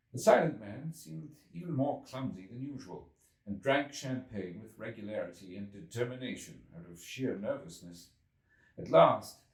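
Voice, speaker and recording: A man reading a literary story aloud as scripted narration, in a dramatic storytelling manner. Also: on the low side. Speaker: audiobook reader. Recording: roomy echo, distant from mic.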